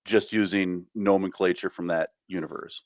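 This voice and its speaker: A man's voice: sits low, fairly even intonation, moderate pace, dry recording, studio quality. A man co-hosting a podcast in casual chat, hesitant and often repeating words as he thinks aloud. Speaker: podcast co-host.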